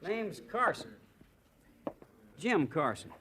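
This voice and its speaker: An actor playing a cocky, touchy young gunslinger, curt and boastful, in an old-time radio Western. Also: male voice, high-pitched, very animated, takes it slowly, noisy background, heavily acted. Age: young adult.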